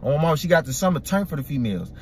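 A young man hosting a music reaction video from a parked car, talking excitedly in profane slang into a phone held at arm's length. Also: a little high, very fast, slight background noise. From Iraq. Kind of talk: casual reaction commentary. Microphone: phone camera at arm's length.

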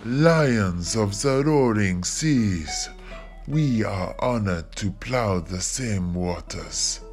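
A man plays a courtly sea captain from a fantasy tale. His voice is low and very slow, formal and grandly polite, with faint guitar music behind. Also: lively intonation, heavily acted. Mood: ceremonious greeting. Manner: formal, measured, ceremonious.

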